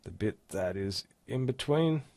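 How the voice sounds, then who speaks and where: A man giving a casual, step-by-step software walkthrough as an instructor. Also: fairly low pitch, lively intonation, a little slow, gravelly, dry recording. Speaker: tutorial instructor. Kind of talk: screen-recorded software tutorial, casual walkthrough.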